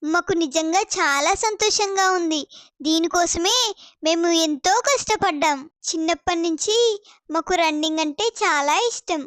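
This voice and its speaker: Lady doing an eager confident young sister in a cartoon, very high voice, reassuring, dry close sound. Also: moderate pace, fairly bright tone, no room sound.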